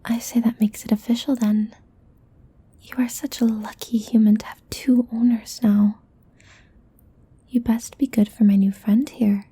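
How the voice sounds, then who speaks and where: A woman voicing a playful, possessive demon in a soft, breathy, coaxing whisper for an ASMR roleplay. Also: fairly high pitch, flat delivery. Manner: slow, coaxing, teasing.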